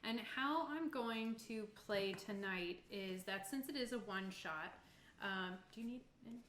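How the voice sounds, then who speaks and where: A woman's voice: medium pitch, a little slow, a bit bright, slight background noise. Woman, game master, informal rules explanation, easygoing, roomy echo. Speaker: game master running a tabletop roleplaying game. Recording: roomy, echoing room sound.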